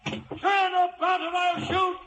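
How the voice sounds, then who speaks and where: An actor playing a stern ship's officer shouting orders across water in a radio drama, with a reverberant sound. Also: male voice, very high-pitched, little pitch movement, slow pace, exaggerated voice. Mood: alarmed, commanding. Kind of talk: vintage radio drama acting. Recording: reverberant, faint background noise.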